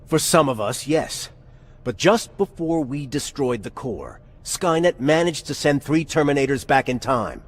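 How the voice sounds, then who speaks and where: Grave war commander, expressive delivery. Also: male voice, mid-range voice, measured pace. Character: grave, resolute male resistance commander in a future war. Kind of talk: dramatic video game acting. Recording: game dialogue over loud music.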